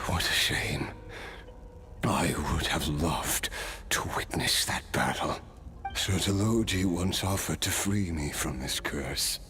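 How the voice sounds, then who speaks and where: An actor playing a weary, scholarly immortal warrior in a video game, solemn and grandiose, speaking in a measured, deliberate way. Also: male voice, extremely low pitch, bright, forceful tone, full character voice. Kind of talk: dramatic video game acting. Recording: game music behind the voice.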